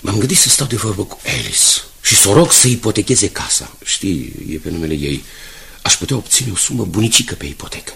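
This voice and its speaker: Low-voiced, earnest newspaper owner. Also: male voice, lively intonation, gravelly, pushed and bright, heavily acted. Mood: grave, worried. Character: troubled, stubborn, principled middle-aged newspaper owner seeking a loan. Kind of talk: Romanian radio drama acting.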